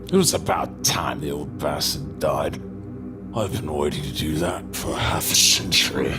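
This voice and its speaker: A slow, mocking possessed villain. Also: male voice, extremely low pitch, over loud music. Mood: coldly taunting. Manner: mocking, theatrical. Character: possessed man, cold taunting villain goading his brother. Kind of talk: audio drama acting.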